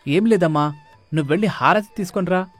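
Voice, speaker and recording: A placating husband of about thirty played by a man in a cartoon family tale. His voice is fairly high, reassuring and cautious, with music underneath. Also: brisk. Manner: reassuring, explanatory. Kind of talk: animated moral story dialogue.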